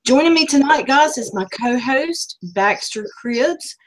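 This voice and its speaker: A woman's voice: high-pitched, animated, unhurried, a little hiss or hum, poor audio. A woman hosting a live business stream, rambling casually with many fillers and losing her voice. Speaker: host.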